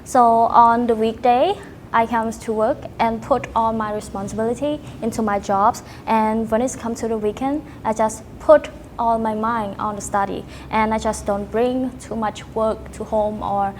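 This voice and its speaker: Young cambodian lady on a podcast, kinda high voice, pauses hunting for words, bit of noise behind her. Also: steady tone, a little mellow. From Cambodia, Battambang province. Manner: halting, searches for words in non-native phrasing. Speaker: podcast guest, teacher and student. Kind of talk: casual interview answers.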